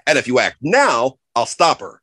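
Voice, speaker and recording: A man's voice: mid-range voice, wildly swooping pitch, normal speed, bright, forceful tone, husky. A man doing scripted, lightly comic announcements and sponsor plugs as a producer of an audio show.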